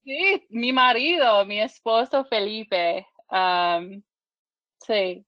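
A woman in her thirties from Michigan, a podcast guest speaking halting learner's Spanish with English as her first language, full of restarts and fillers. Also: medium pitch, dry recording, muffled recording. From Michigan, USA.

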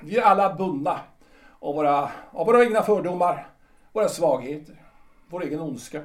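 A male actor plays an embittered civil servant of about sixty in a period radio drama. His voice sits fairly high and moves dramatically up and down with fatalistic weight. He sounds some distance from the microphone. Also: animated, natural voice. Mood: bitter, agitated. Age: older. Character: bitter, brooding retired civil servant of 62.

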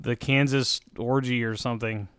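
A man's voice: medium pitch, fairly even intonation, normal speed, a bit bright, clean tone, dry recording, clean studio sound. A man co-hosting a podcast, teasing the others with crude jokes in casual chat. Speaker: podcast co-host.

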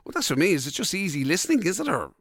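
A man's voice: high voice, very animated, racing, a crowd in the background. An Irish man co-hosting a radio show, chatting casually and colloquially and reading out listener messages. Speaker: radio breakfast show co-host. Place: radio studio, dry close sound.

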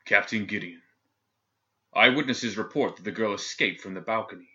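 A man's voice: fairly low pitch, normal speed, sharp tone, lo-fi sound. A man doing a cold, sadistic governor. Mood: cold menacing. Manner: cool, clipped, menacing. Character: cold sadistic male governor general, calm.

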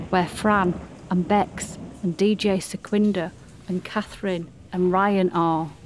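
A woman's voice: mid-range voice, darkish tone, slight background noise. A woman reading scripted narration for a radio play.

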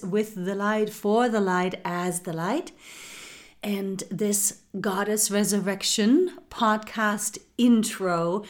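A woman talks slowly and softly as she hosts a spiritual podcast. She speaks at an unhurried pace in a mid-range voice and calls her listeners sisters. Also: mid-range voice. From Germany. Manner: slow, soft-spoken, addresses listener as goddess and sister. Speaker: podcast host.

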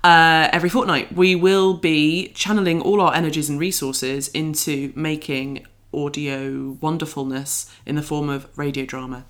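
A woman's voice: low voice, steady tone, moderate pace, few pauses, fairly bright tone. A woman hosting an arts podcast in casual chat, with a playful, wryly joking manner.

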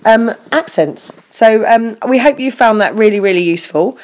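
A middle-aged woman co-hosting a podcast, talking in a relaxed, chatty way with frequent restarts and laughter. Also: a little high, moderate pace, rough recording. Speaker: podcast co-host. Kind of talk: casual podcast chat.

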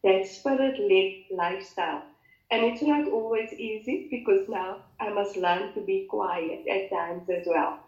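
A woman's voice: medium pitch, unhurried, darkish tone. A woman speaks reflectively about faith, now and then searching for the right word. Her voice echoes in a roomy space. The audio is dull. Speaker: co-host. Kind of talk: casual faith discussion.

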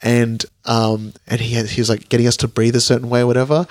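A man's voice: mid-range voice, little pitch movement, quick pace, darkish tone, no echo. A man co-hosting a casual podcast. He rambles through stories, fills gaps with "um" and "like", and often breaks into laughter. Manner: rambling, laughing, heavy use of filler words. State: voice lowered after a cold. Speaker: podcast co-host. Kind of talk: casual chat.

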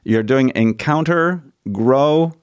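A man's voice: mid-range voice, slow, clear, resonant tone. A man from Argentina, a priest hosting a podcast interview, telling stories in a chatty way with many fillers and restarts. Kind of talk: casual interview.

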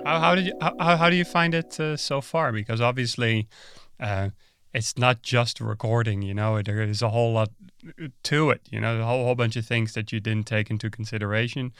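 A man's voice: mid-range voice, steady tone, brisk, fairly bright tone, clear, resonant tone, no echo. A man hosting a podcast, introducing his guest and asking questions with stumbling restarts. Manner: stammering restarts when asking. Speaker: podcast host. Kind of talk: interviewing a guest.

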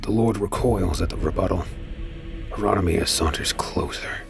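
A man playing a wary pirate who narrates his own tale in a science fiction audio drama, measured and brooding. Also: deep voice, vocal fry, over music. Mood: grave. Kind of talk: scripted first-person narration in audio drama.

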